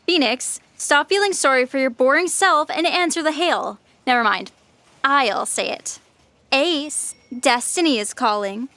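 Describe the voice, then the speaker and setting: Woman as callous female computer program, audio drama, sarcastic, high, slow, faint noise. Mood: snide. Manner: snide, flippant. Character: gleefully callous, sarcastic self-destruct program.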